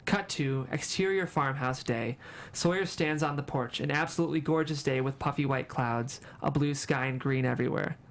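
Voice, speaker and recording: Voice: mid-range voice, normal speed. A narrator reading screenplay stage directions at a table read in a dramatic storytelling manner.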